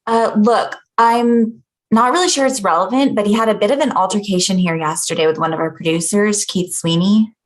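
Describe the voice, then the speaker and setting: Guarded, dry-witted female office worker, played by an actress, medium pitch, comedy read. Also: fairly quick, natural voice. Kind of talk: comedy script table read.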